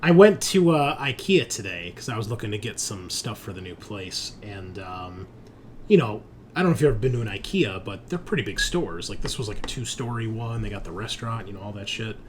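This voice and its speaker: A man's voice: on the low side, lively intonation, brisk, slight background noise. An American man in casual, sometimes sweary chat as he hosts a tabletop game stream. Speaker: host and game master.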